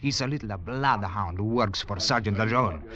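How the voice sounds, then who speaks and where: An actor playing a scheming, ingratiating legionnaire informer with an Italian accent, wheedling conspiratorially in broken English in a 1940s radio drama. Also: male voice, fairly low pitch, normal speed, a bit bright, lightly acted. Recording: muffled vintage radio recording.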